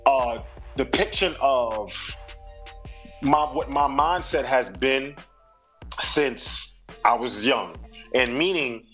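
A man's voice: mid-range voice, slow, pauses often, fairly bright tone, soft background music. A man in his thirties from Brooklyn with a New York accent, a rapper being interviewed, talking in a laid-back, reflective way full of slang and fillers. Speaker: rapper and singer, guest. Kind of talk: casual interview chat.

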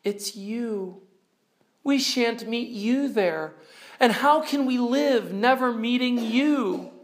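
A man's voice: high-pitched, measured pace, pitched above the performer's own voice. A man voicing an earnest, tender young girl from a storybook, pleading emotionally. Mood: despairing.

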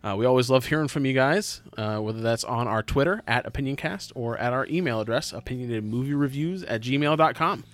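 A man's voice: medium pitch, lively intonation, fairly quick, hardly any pauses. A man talking casually as a podcast host and player in a tabletop game. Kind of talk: casual chat.

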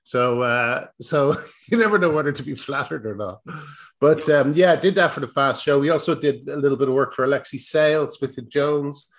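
A man's voice: mid-range voice, normal speed. A middle-aged Irish man, a comedy writer, chatting casually as an interview guest, rambling with restarts and wry self-deprecating asides.

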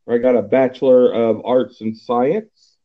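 A man's voice: mid-range voice, fairly even intonation, measured pace. A man in his forties from Texas, hosting a casual podcast chat and rambling with many fillers and restarts. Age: 40s. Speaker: podcast host. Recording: tinny phone-like line.

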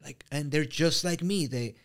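A man from Mexico with a Mexican Spanish accent, an immigrant business owner telling stories as a podcast guest, animated, repeating words and using many fillers. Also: on the high side, normal speed. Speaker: real estate brokerage owner, guest. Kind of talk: casual podcast interview.

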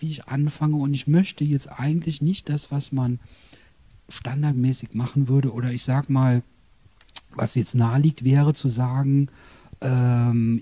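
A man's voice: medium pitch, dark tone, husky. A man hosting a podcast interview in a guest's apartment, chatty and rambling, with jokes along the way. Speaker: podcast host.

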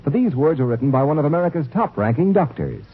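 Emphatic old radio ad announcer. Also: male voice, sing-song, quick pace, slightly rough. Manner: persuasive, emphatic pitch. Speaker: commercial announcer. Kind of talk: 1940s radio commercial. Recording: tinny old radio broadcast.

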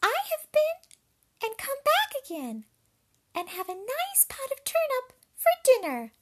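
A tiny storybook piglet, squealing far up at the top of the range. The voice is shrill, squeaky and loud, with a high-strung, exclaiming delivery. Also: female voice, huge pitch swings, measured pace, slight background noise, exaggerated voice. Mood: perky. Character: small squealing little pig, pleading yet defiant. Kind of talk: children's storybook character voice.

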